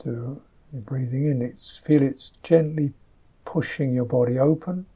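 A man teaching a guided meditation, speaking softly and gently in unhurried, halting phrases, with a slightly husky voice. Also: medium pitch.

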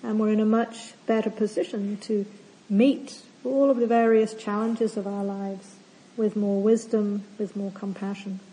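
Calm woman meditation teacher, mellow voice. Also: medium pitch, measured pace, low-quality recording. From not from Australia. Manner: calm, gentle, explanatory. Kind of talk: retreat opening talk. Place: retreat centre hall.

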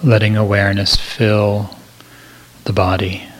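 A man leading a guided meditation as a teacher, speaking in a gentle, measured manner with long pauses. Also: fairly low pitch, little pitch movement, slight background noise, poor audio.

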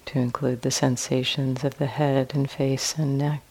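A woman teaching a guided meditation in a calm, gentle manner with long pauses between phrases. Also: a bass voice, husky, low-quality recording.

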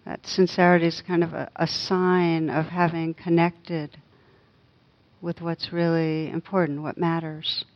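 A woman leading a guided meditation as a teacher, gentle and unhurried, with long pauses between soft phrases. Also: fairly low pitch, flat delivery, lo-fi sound.

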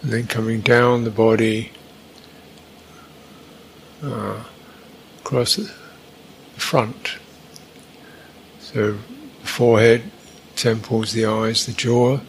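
Even-toned man guiding meditation, halting phrases. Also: medium pitch, creaky, slight background noise, low-quality recording. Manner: unhurried, halting, with long pauses between short phrases. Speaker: meditation teacher. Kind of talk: guided meditation instruction.

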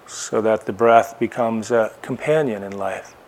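A man teaching a guided meditation, speaking in short, unhurried phrases with long pauses between them. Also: fairly low pitch, darkish tone.

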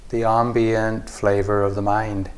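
A man leading a guided meditation as a teacher, speaking calmly and in short, measured phrases with long pauses. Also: fairly low pitch, a little hiss or hum.

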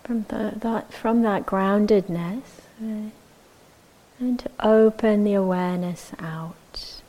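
A woman teaching meditation, giving gentle, measured instructions with long pauses between short phrases. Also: medium pitch, low-quality recording.

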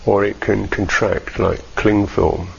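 A man teaching a guided meditation in a measured, halting way with long pauses, on a dull recording. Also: on the low side, flat delivery, vocal fry, slight background noise.

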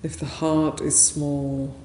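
A woman leading a guided meditation as a teacher, speaking gently and unhurriedly in short phrases with long pauses. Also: low-pitched, little pitch movement. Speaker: meditation teacher, Buddhist nun. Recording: roomy echo, faint background noise.

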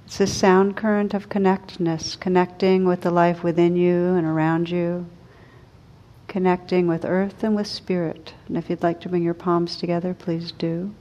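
Low-voiced woman guiding a meditation. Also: little pitch movement, dull audio. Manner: gentle, unhurried, soothing instruction with long pauses. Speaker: meditation teacher leading a group. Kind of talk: guided meditation.

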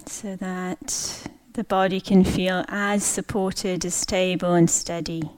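A woman leading a guided meditation, speaking gently in short, unhurried phrases with long pauses. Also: on the low side, flat delivery, clean tone, rough recording. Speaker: meditation teacher.

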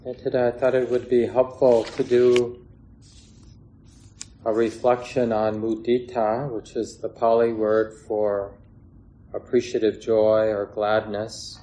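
A man leading a guided meditation as a teacher, speaking gently in short phrases with long pauses between them. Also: lowish voice, flat delivery. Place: meditation hall with a gathered group. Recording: clear background noise, room ambience.

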